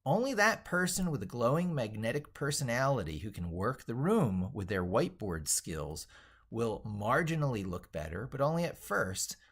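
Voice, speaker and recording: A man hosting a casual tech livestream, rambling and thinking aloud as he works through things on screen and reads text out. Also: medium pitch. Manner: rambling, thinking aloud while working at computer. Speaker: livestream host, tech educator.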